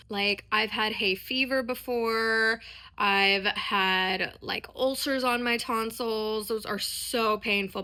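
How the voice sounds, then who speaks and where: A woman in her thirties speaks in a bright, crisp-edged voice at a medium pitch. She sounds congested from a sore throat. Her delivery is casual and candid, with a self-deprecating, confiding vlog style. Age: 30s. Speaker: dance and performance vlogger. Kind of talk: casual, chatty personal vlog.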